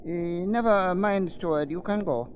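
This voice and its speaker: High-pitched nervous foreign manservant. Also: male voice, a little slow. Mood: formal, terse. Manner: clipped broken English, stammering when frightened. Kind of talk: 1930s radio acting. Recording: tinny, noisy 1930s radio recording.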